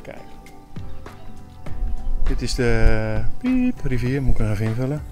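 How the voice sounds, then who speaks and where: A man's voice: medium pitch, big pitch swings, a little slow, music in the background. A man in his fifties vlogging outdoors to a handheld camera, chatty and hesitant with many fillers. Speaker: sailing vlogger. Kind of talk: casual vlog to camera. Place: outdoors, tropical village. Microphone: handheld camera at arm's length, selfie.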